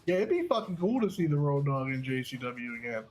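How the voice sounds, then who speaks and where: A man's voice: medium pitch, very animated, a little fast, a little mellow, faint music behind. A man hosting casual wrestling commentary in an enthusiastic, slangy and profane way. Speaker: segment host and match commentator.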